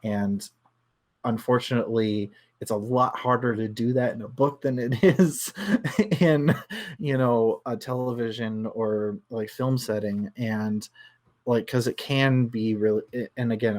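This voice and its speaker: A man hosting a casual book discussion, thinking aloud in a halting way and circling back over his phrases. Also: lowish voice. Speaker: book club discussion host.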